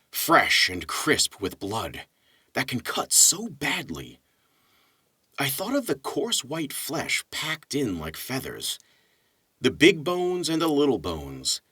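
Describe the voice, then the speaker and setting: A man with a bright, forceful voice and a husky grain speaks as a narrator of poetry. His phrasing is deliberate and dramatic, with unhurried pauses. The sound is close and dry. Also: mid-range voice, no echo. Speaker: narrator and literature teacher. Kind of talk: poetry reading with casual introduction.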